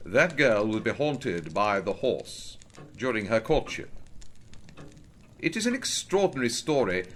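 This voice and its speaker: A gentleman investigator of hauntings from an Edwardian ghost story. His phrasing is formal, measured and ominous. His pitch sits in a middle register but rises and falls very dramatically, with faint noise and clicks behind him.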